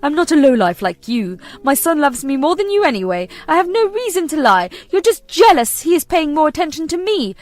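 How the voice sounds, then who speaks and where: A woman voicing a manipulative, whining older mother-in-law who accuses and pleads in a shrill, melodramatic way. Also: big pitch swings, a little fast, hardly any pauses, a little mellow, no echo. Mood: shrill pleading.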